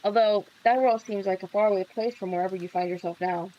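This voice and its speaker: A woman's voice: mid-range voice, fairly even intonation, fast talker, dull audio. A woman livestream host reading a visual novel aloud, with playful casual reactions. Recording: background music under the voice. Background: music.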